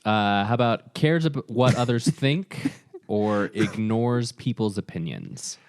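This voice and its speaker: A man's voice: fairly low pitch, very animated, slow, darkish tone, dry recording, clean studio sound. A man co-hosting a podcast in a casual debate, with a teasing, playfully competitive manner. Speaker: podcast co-host.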